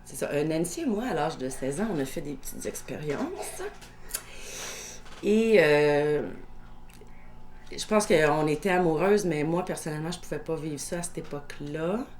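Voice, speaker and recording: Québécoise, 50s, low voice, reflective storyteller, light noise floor. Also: female voice, lively intonation, moderate pace, darkish tone. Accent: Québécois French. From Quebec. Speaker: documentary interviewee. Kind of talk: personal storytelling interview.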